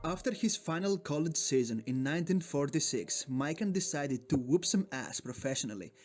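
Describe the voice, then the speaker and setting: A man's voice: a little high, lively intonation, normal speed. A man from Greece with a Greek accent, narrating a sports video in a hyped, joking, profane way. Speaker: sports YouTuber.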